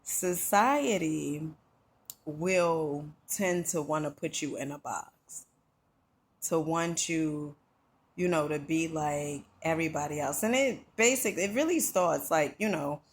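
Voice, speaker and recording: A woman's voice: low voice, slow pace, slight background noise. A middle-aged woman from New Jersey giving an earnest motivational talk, conversational and full of "you know" and "right?".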